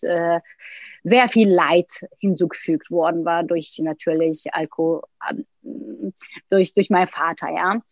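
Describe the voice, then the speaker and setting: A woman, telling stories as an interview guest, lively, with many fillers and restarts. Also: on the low side, dry recording. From Turkey. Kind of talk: casual interview talk. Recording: dull, dry sound.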